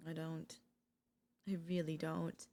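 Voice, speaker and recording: A woman voice actor playing an obsessive older female professor in an ASMR audio roleplay, coaxing and deliberate, with dramatic pauses. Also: low-pitched, completely flat delivery, warm tone. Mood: nervous, confessional.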